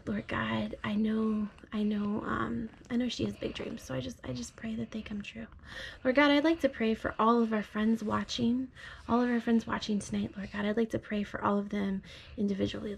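A woman speaking gently, as a parent does to a small child at bedtime. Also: a little high, fairly even intonation, normal speed, gravelly, husky. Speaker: parent reading aloud. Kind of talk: bedtime storybook read-aloud. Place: bedroom at home.

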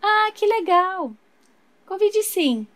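A woman voicing a cheerful young female friend doll who answers the phone with high, gushing excitement. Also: slow pace, full character voice. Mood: delighted.

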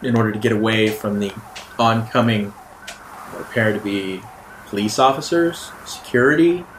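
A man narrating an improvised tabletop role-playing game as game master, in a halting, deliberate way. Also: fairly low pitch. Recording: roomy echo, background room noise.

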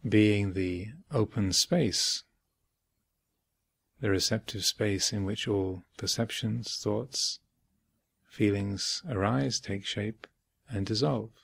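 A man giving guided meditation instruction as a teacher, speaking in measured short phrases with long pauses between them. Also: on the low side, steady tone, gravelly, breathy edge, no echo. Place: retreat center, close dry recording.

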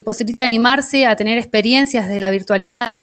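Higher-pitched Argentine woman presenting. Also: quick pace. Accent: Argentine Spanish. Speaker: workshop organiser. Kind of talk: online presentation. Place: indoor kitchen at home. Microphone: earphone mic held near mouth, video call.

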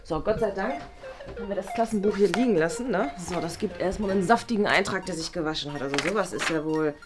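Eine Frau spielt eine genervte, abfällige Vertretungslehrerin in einem Schulsketch und redet fahrig in abgebrochenen Sätzen. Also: female voice, lowish voice, quick pace, music in the background, natural voice. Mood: exasperated, indignant. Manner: rambling, breaks off sentences, exasperated. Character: irritable, dismissive female substitute school teacher, easily exasperated. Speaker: substitute teacher in a sketch series. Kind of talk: improvised school sketch acting.

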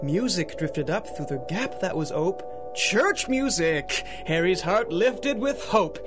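Male narrator, high voice, rhyming storybook delivery, dramatic, radio drama, music underneath. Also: animated, slow pace. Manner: theatrical, dramatic.

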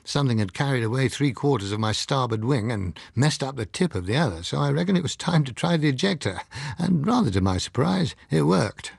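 A man's voice: mid-range voice, animated, a little fast, vocal fry, slightly rough, no echo, studio quality, heavily acted. A man voicing a candid military pilot in an audiobook, guarded, colloquial and matter-of-fact. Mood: candid, animated. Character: candid military pilot recounting a strange encounter. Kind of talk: audiobook character dialogue.

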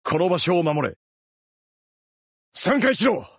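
A man voicing a terse soldier in a combat video game, giving short, clipped, commanding lines with a raspy voice. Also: high-pitched, lively intonation, slow pace, full character voice. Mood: urgent, commanding. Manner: clipped, commanding military delivery. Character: male soldier operator, terse, commanding, battle-focused.

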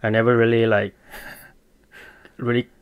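Man, 30s, earnest, halting podcast guest, medium pitch. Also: little pitch movement, clean tone, phone-quality sound. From Malaysia. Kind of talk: casual interview.

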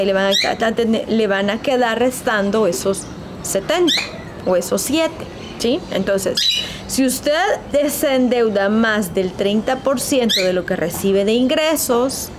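Animated woman coach on a noisy balcony. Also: mid-range voice. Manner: lively, uses rhetorical questions and formal "usted" address. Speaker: business coach. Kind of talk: informal financial advice talk to camera. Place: outdoor balcony overlooking hills.

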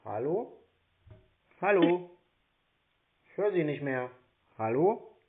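A man's voice: fairly high pitch, sing-song, takes it slowly. A man on a phone call as a customer, questioning the agent in a matter-of-fact, persistently sceptical way. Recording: narrow, tinny phone line.

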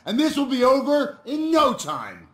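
An actor playing a forceful, commanding male bank robbery leader in a video game, declaiming his orders. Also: extremely high pitch, huge pitch swings, measured pace, full character voice. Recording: echoing room sound.

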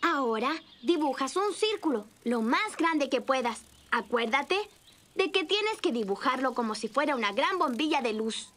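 A woman's voice: sits high, lively intonation, normal speed, a bit bright, slight background noise. A woman voicing a friendly young cartoon rabbit who explains things patiently and encouragingly, like a helpful little teacher. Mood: patient, explaining. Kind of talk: children's cartoon dubbing. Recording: music under the voice.

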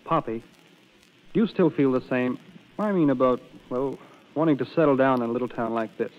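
An American actor playing an earnest, lovestruck small-town young man in old radio drama, boyish and halting. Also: medium pitch, lightly acted. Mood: earnest, pleading. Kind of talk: 1930s radio drama acting. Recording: dull vintage radio recording.

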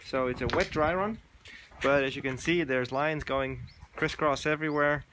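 A man in his forties films himself outdoors on a phone held at arm's length. He talks in a relaxed, halting way, with many fillers, and stops to search for words. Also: mid-range voice, noisy background. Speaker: dive instructor vlogger. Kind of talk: casual selfie vlog. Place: outdoors, covered shelter and lawn. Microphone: phone camera at arm's length.

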